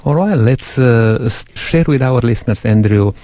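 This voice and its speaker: A man's voice: mid-range voice, animated, normal speed, dark tone, no room sound. A man with a European accent hosting a faith interview program, speaking hesitantly with many fillers. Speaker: host. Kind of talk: radio interview.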